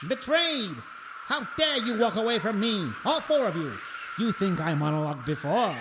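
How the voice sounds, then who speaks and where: An actor playing a megalomaniac mad scientist villain in a radio play, theatrical, condescending and given to melodramatic rants. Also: male voice, high-pitched, very animated, normal speed, over music, poor audio. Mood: outraged, ranting.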